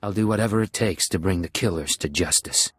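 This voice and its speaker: Low, husky, controlled spy. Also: male voice, dry recording. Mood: guarded. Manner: measured, controlled. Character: cool, controlled male spy, quietly menacing. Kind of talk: dramatic video game acting.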